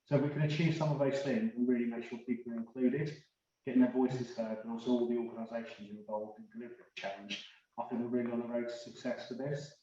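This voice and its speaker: A man speaks as a panellist in earnest, unscripted fashion. His delivery is fairly flat and his tone mellow, while the sound is heavily echoed and distant from the microphone. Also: mid-range voice, fast talker, lo-fi sound.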